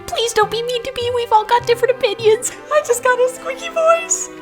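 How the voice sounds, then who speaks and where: A woman puts on a squeaky, whiny youngster. The voice jumps up very high and pleads, as a comic aside in a video. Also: fairly quick, over loud music. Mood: mock pleading.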